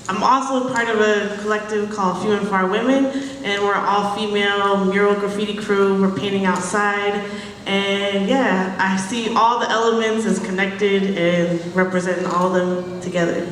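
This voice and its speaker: A woman's voice: mid-range voice, a bit bright, a little hiss or hum. A woman DJ and youth arts teacher introducing herself in an easygoing way on a panel. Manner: easygoing, listing roles. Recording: echoey hall from far off.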